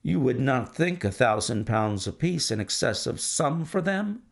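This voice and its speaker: A man voicing a calm, stern male detective who speaks in a measured, authoritative way. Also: mid-range voice, creaky, full character voice. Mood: dry.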